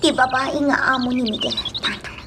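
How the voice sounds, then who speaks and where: A woman's voice: high voice, steady tone, moderate pace, distant mic, noisy background, natural voice. A woman acting a lovesick, brooding grown daughter in an Ilocano radio drama, plaintive and subdued. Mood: brooding, jealous.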